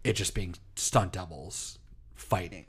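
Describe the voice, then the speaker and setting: A man's voice: deep voice, slow, husky. A man co-hosting a podcast, rambling in a laid-back way and swearing often. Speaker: podcast co-host. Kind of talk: casual chat.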